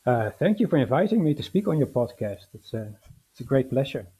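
A man's voice: medium pitch, big pitch swings, brisk, warm tone, husky. A man from the Netherlands, a historian answering interview questions, hesitant with many fillers and restarts. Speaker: historian guest. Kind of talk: academic interview.

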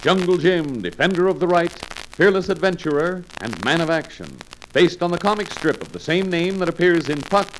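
A male announcer narrates an adventure serial in vintage radio style. His delivery is emphatic and very animated, with a medium pitch that swings widely. The old recording is clearly noisy. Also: normal speed. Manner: dramatic, emphatic declaiming. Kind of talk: 1940s-50s radio serial scripted narration.

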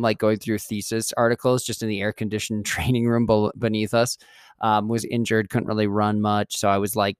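A man's voice: medium pitch, no room sound, clean studio sound. A man hosting his own podcast, talking solo in a chatty, rambling way and often correcting himself mid-sentence. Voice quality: smooth. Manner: chatty, digressive, self-correcting. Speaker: podcast host. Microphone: studio mic.

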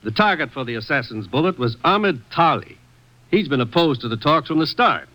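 A man's voice: on the high side, animated, a little fast, pushed and bright, poor audio. A man playing a sober intelligence commissioner in an old-time radio drama, formal and businesslike. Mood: grave. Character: sober, authoritative male government intelligence commissioner. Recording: vintage radio broadcast recording.

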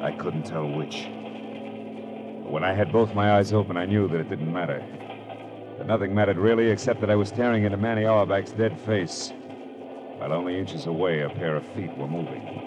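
An actor playing a terse, sardonic hard-boiled private detective, narrating in the style of 1940s radio drama. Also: male voice, low-pitched, brisk, noisy background, natural voice. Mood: tense, grim. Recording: old radio recording, dramatic music behind.

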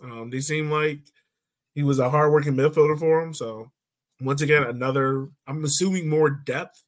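Male podcast host, slightly high-pitched, measured and informal, for conversational football discussion. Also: steady tone.

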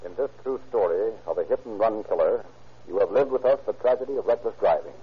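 Formal 1930s radio narrator. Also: male voice, lowish voice, animated, fast, breathy edge. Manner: formal, declamatory. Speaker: narrator and announcer. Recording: dull lo-fi 1930s radio recording with faint music.

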